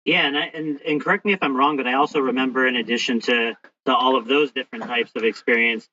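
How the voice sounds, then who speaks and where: A man's voice: mid-range voice, steady tone, fast, sharp tone, recorded from a distance. A man hosting a podcast, asking interview questions in a hesitant way with many restarts and fillers. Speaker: podcast host. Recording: hissy lo-fi audio, off-mic.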